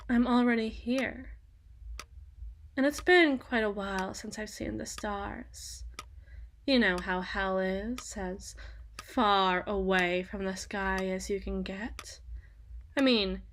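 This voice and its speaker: A woman's voice: a little high, fairly bright tone, a little hiss or hum, full character voice. A woman playing a seductive, sadistic female demon in a teasing, condescending, theatrical ASMR roleplay monologue.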